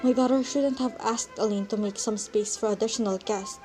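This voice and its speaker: A woman's voice: a little high, fairly even intonation, a little fast, warm tone, faint music behind, close to an everyday voice. A young woman playing a polite, stubbornly apologetic society mother in an amateur stage play, speaking formally and insistently. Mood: politely insistent.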